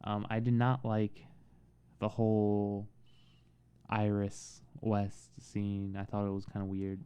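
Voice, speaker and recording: Soothing, smooth-voiced man reviewing a film. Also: fairly low pitch. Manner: halting, relaxed, with frequent pauses and restarts. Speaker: movie reviewer. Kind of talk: casual spoken review.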